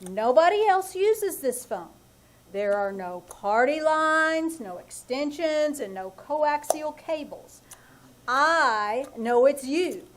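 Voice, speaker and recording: A deadpan, long-suffering businessman husband from a 1940s radio comedy, as a woman plays him on a live stage. The voice sits high and delivers every sarcastic wisecrack flatly and dryly. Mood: exasperated. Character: dry, put-upon middle-aged businessman husband. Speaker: lead in a radio play.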